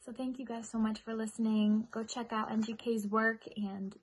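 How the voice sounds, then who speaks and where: Husky young American woman, higher-pitched. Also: flat delivery, normal speed, poor audio. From United States. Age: young adult. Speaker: singer-songwriter reading a children's story. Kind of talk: friendly address and storybook reading.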